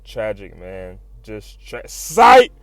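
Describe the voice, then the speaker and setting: A man giving a casual, excited recap as a video host, full of slang, swearing and animated exclamations. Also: on the low side, very slow, very noisy. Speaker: YouTube host recapping comics.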